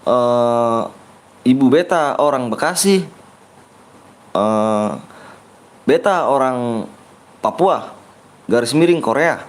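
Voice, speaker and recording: A man's voice: mid-range voice, animated, takes it slowly, long pauses. A young man live-streaming a game, reading viewer comments aloud and bantering with them, joking about himself and urging everyone to like and subscribe. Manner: playful joking persona. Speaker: game livestreamer. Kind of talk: casual live-stream chat.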